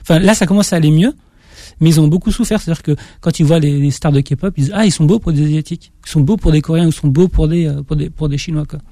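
A man's voice: on the high side, quick pace, mellow, no echo. A young man from France with a slight Auvergne accent, an author answering interview questions in a hesitant way, with frequent restarts. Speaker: author guest. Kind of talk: interview conversation.

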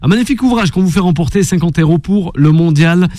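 A man hosting a radio sports call-in show, brisk and quick to cut in and steer callers. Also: high-pitched, expressive, dark tone, no room sound, clean studio sound.

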